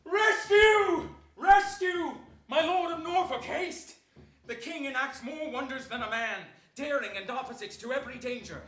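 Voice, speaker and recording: A man's voice: very high voice, a little slow, a bit bright. An actor playing a loyal battlefield officer, a man calling urgently in declamatory Shakespearean stage style. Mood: urgent, alarmed. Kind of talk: theatrical Shakespearean stage acting. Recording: echoey theatre stage.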